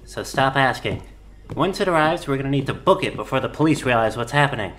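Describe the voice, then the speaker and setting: A man's voice: mid-range voice, lively intonation, fast talker, a bit bright, slight background noise, natural voice. A man playing a sarcastic, impatient robber henchman in an audio drama. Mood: sarcastic, exasperated.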